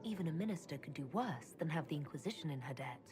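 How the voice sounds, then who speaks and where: An actress playing an authoritative female leader in a video game, speaking calmly and in a measured way. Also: low voice, background noise. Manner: calm, measured.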